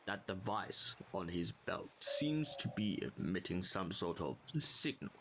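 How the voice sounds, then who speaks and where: A man playing a formal, old-fashioned military Brigadier in an audio drama, with a dry, measured manner. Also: low voice, expressive, background noise. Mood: concerned.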